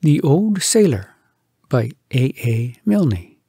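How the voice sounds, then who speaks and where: Husky, animated man reciting humorous verse. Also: medium pitch, no echo, studio quality. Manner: theatrical, animated delivery with dramatic pauses. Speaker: storyteller reading poems aloud. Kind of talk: expressive comic poetry recitation.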